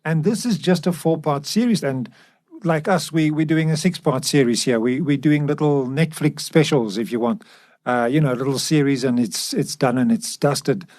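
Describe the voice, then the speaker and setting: A man's voice: a little high, moderate pace, a little mellow. A man co-hosting a podcast in a casual discussion style. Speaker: podcast co-host.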